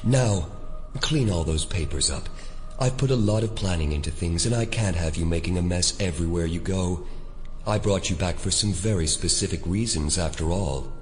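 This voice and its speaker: Audio drama villain male actor: controlling, threatening elder, deep voice, long reverb, faint music beneath. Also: lightly acted. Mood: cold, commanding. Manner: imperious, deliberate, threatening. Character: menacing, controlling male Prime Elder, coldly commanding maker.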